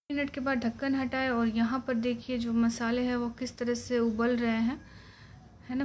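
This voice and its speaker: A woman's voice: fairly high pitch, mellow, slight background noise. A woman with an Indian accent giving a step-by-step cooking tutorial voice-over as a home cook. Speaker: home cook presenting a recipe.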